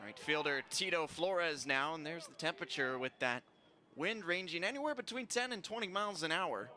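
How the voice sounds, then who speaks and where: A man's voice: a little high, animated, moderate pace, pushed and bright. A man doing live play-by-play commentary of a baseball game as a sports announcer. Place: ballpark, live broadcast. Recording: noisy outdoor stadium ambience.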